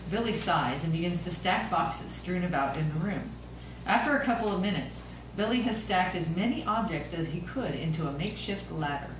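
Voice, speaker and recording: A woman's voice: fairly low pitch, lively intonation, normal speed. A woman reading scripted stage narration at an amateur table read. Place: echoey room, recorded from a distance. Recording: very echoey room, distant mic, clear noise.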